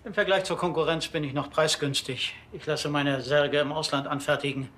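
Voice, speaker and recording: An actor playing a formal, businesslike undertaker with a correct manner in a TV crime comedy. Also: male voice, fairly high pitch, steady tone, normal speed, fairly bright tone, close to an everyday voice.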